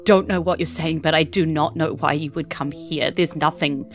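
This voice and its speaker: A woman's voice: low voice, fairly even intonation, normal speed, faint music behind. A woman voicing a timid, simple forty-year-old cloud man who speaks in a hesitant, stammering, fatalistic way in a tabletop game. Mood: bleak, resigned.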